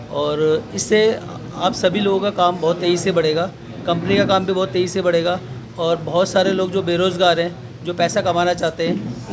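A man's voice: high-pitched, noisy background. A man giving promotional answers in an interview at an office opening, upbeat and salesy, speaking into a handheld mic. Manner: upbeat, salesy, opens with a long Islamic greeting. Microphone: handheld news mic held up close.

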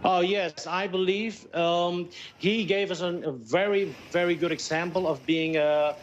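Higher-pitched Indonesian man on a video call. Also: fairly even intonation, a little hiss or hum. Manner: halting, searching for words in English. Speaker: airline pilot, interview guest. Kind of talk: live news interview. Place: plain indoor room. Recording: dull, lo-fi Skype call.

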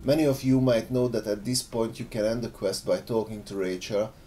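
A man's voice: steady tone, fairly quick, mellow. A man narrating an explanatory voice-over as a game modder. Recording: faint background noise, low rumble.

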